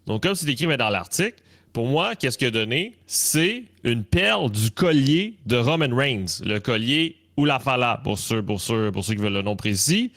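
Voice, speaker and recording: Man, Canadian French accent, casual live-stream co-host, mid-pitched voice, repeats phrases while arguing. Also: sing-song, moderate pace, fairly bright tone. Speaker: wrestling talk show co-host.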